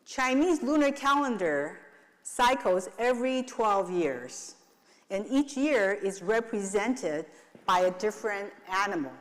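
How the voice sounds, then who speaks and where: Slow playful woman in a reverberant hall. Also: medium pitch, expressive. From Taiwan. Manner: playful, leads the audience in call and response. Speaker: attorney and event host. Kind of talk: stage speech at a client event. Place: large event hall. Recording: long reverb of a large hall.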